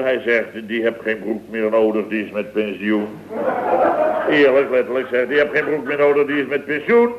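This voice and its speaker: A man's voice: mid-range voice, natural voice. Tinny old radio sound, male actor playing blustering middle-aged boss, sarcastic, emphatic, indignant, comedy delivery. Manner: sarcastic, emphatic, repeats himself. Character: blustering, indignant building firm boss, about fifty. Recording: tinny, narrow-band old radio recording.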